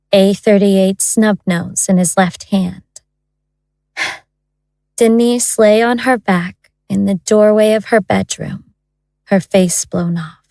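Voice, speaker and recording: An actress playing a wry, hard-boiled storyteller, narrating a crime tale in a deliberate, matter-of-fact way. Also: female voice, mid-range voice, darkish tone, dry recording. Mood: grim, matter-of-fact.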